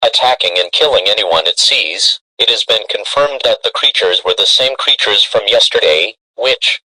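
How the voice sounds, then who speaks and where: A man as a bright, forceful emergency announcer. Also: fairly low pitch, moderate pace, no echo, low-quality recording. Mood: grave, official. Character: stern male emergency alert announcer. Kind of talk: formal scripted emergency broadcast.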